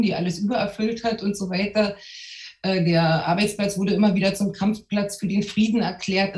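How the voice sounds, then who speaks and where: A woman's voice: on the low side, a little mellow. A middle-aged woman from East Berlin, a journalist guest, telling her story thoughtfully with frequent hesitations. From East Berlin, Germany. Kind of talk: personal account on a panel. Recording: echoey room.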